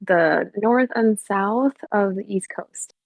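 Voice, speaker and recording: Gravelly-voiced woman guest, giggly. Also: mid-range voice, takes it slowly, no room sound, low-quality recording. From Colombia. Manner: giggly, thoughtful, hesitant. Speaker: guest, content creator. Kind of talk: soft-spoken interview answers.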